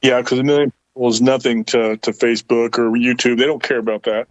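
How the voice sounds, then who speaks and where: A man with a mid-pitched voice speaks in casual chat. The sound is dry and somewhat dull, with the top end rolled off. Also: fairly even intonation, fast talker, no room sound.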